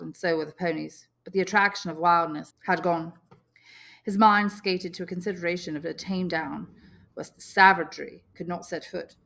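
Audiobook reader: woman, slightly low pitch with noticeable vocal fry, expressive teacherly storytelling. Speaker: English teacher reading a novel. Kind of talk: audiobook narration.

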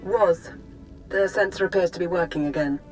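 An actress playing a composed, calculating intelligence agent in a video game, formal and precise. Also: female voice, fairly low pitch, moderate pace, darkish tone, soft background music, natural voice, low-quality recording. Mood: guarded, conspiratorial. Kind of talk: video game character acting.

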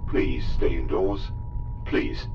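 Stern emergency announcer, played by a man, very low, dull rumbling broadcast sound. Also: lively intonation, slow pace, music in the background, heavily acted. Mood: stern, official. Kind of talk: emergency announcement in an audio drama.

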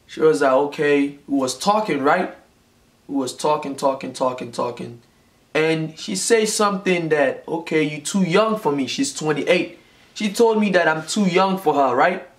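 A man's voice: fairly high pitch, normal speed. A man in his twenties from Nigeria, telling a personal story to the camera in a casual vlog, repeating phrases for emphasis. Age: 20s. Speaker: vlogger. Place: small indoor room, seated at home. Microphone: camera in front, close framing.

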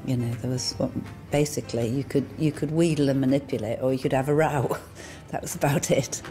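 A woman's voice: extremely low pitch, fairly even intonation, a little fast, mellow. An older woman interviewee speaking wryly and candidly, recorded from a distance with faint music behind. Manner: wry, candid reflection. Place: furnished room, indoors.

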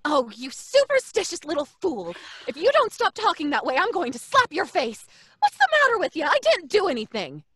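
A woman's voice: very high-pitched, huge pitch swings, normal speed, a bit bright, no room sound, heavily acted. A woman playing a brash, impatient, scornful young working woman, snappy and exasperated, in old-time radio drama style.

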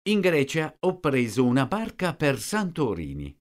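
A man slowly reading out short sentences, each one twice, for a language lesson. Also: medium pitch, wildly swooping pitch. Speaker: language teacher. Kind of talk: slow repeated phrase reading.

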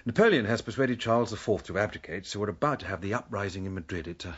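An actor playing a testy, sardonic military gentleman in a radio drama, well-mannered with a sarcastic edge. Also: on the low side, animated, fast talker, husky, highs cut off. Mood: awkward, genial.

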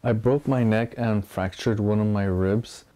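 A man in his early twenties, interviewed indoors in a small room, speaking calmly with slight hesitations. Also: fairly low pitch, measured pace. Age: 20s. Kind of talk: documentary interview.

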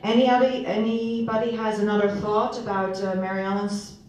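A woman moderating a parish discussion, speaking haltingly with many fillers, recorded from far off in a hall. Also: mid-range voice, level tone, darkish tone. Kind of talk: casual group discussion.

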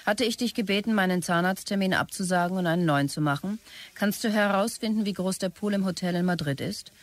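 A woman's voice: medium pitch, a little fast, dry recording, lightly acted. A woman playing a demanding company boss in a radio drama, giving clipped, commanding orders. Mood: insistent, demanding. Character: bossy, demanding company boss issuing curt orders to staff.

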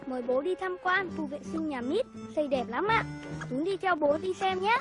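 A woman's voice: very high-pitched, lively intonation, moderate pace. A woman voicing a clever, helpful young boy in a cartoon, polite and eager. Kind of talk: cartoon voice acting. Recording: music behind the voice.

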